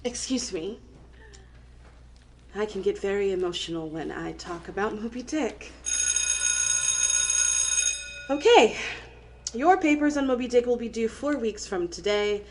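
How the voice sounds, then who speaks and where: An actress playing a middle-aged woman literature professor in an audio play, lecturing with measured, emphatic academic diction and underlying emotion. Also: mid-range voice, animated, a little mellow, slight background noise, natural voice. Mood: composed, firm.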